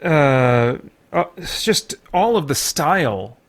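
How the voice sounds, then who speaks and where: An American man hosting an unscripted movie review in a halting way, searching for words and letting thoughts trail off. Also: fairly high pitch, big pitch swings. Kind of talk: unscripted, off-the-cuff film review.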